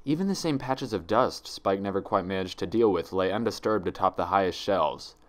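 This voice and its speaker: A man narrating a written story in a deliberate, dramatic storytelling manner. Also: deep voice. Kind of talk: scripted narration, dramatic fan fiction reading.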